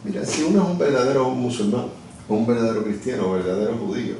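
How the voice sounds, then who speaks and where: A middle-aged man speaks in a mellow, darker tone as an interview guest. He explains his views reflectively and often restarts mid-phrase. His voice is heard from a distance in a very echoey office. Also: medium pitch, big pitch swings, fast, slight background noise. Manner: thoughtful, searching for words with many restarts. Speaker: interview guest, lawyer and communications director. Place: office, seated at a desk. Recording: echoey room from far off.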